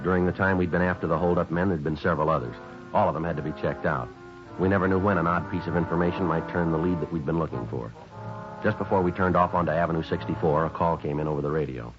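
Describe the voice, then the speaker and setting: A man's voice: low voice, quick pace, music in the background, lightly acted. An American man acting a stoic police detective in a 1950s radio drama, speaking and narrating in a terse, matter-of-fact way. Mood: flat, factual. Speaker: narrator and lead actor. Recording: dull vintage 1950s radio recording.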